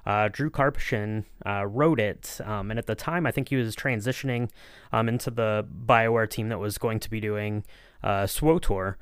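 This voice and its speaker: A man's voice: on the low side, normal speed, smooth, no echo, clean studio sound. A man co-hosting a podcast, explaining background in a casual, conversational way. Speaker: podcast co-host. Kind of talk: casual discussion.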